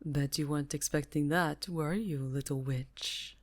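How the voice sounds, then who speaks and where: A woman's voice: low-pitched, steady tone, unhurried. A woman voicing a haughty, menacing vampire countess with a silky, imperious delivery in a fantasy roleplay. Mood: teasing. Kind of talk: fantasy ASMR roleplay acting.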